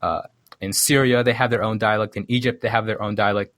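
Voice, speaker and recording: A man from Sudan explaining things casually as an interview guest, haltingly thinking aloud and correcting himself as he goes. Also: on the low side, steady tone, fairly bright tone, no echo, studio quality. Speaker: podcast guest, programmer.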